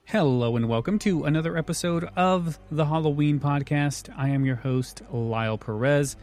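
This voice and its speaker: A man hosting a casual podcast, his voice worn hoarse, chatting and laying out facts with a chuckle. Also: a little high, normal speed. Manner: relaxed, informative, chuckles often. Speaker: podcast host.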